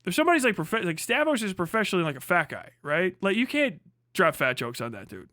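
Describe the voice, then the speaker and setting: A man with a high, sharp voice rants casually as a livestream host, swearing freely and hammering points by repeating them. The sound is close and very dry, with a forceful edge to the delivery. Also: big pitch swings, quick pace, no echo. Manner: profane, emphatic, repeats phrases for emphasis. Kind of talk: casual livestream commentary, comedic ranting.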